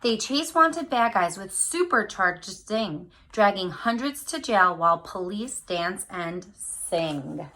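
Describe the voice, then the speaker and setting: A woman reading a picture book aloud to children, speaking to them directly with animated, drawn-out emphasis on key words. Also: mid-range voice. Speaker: read-aloud storyteller for children.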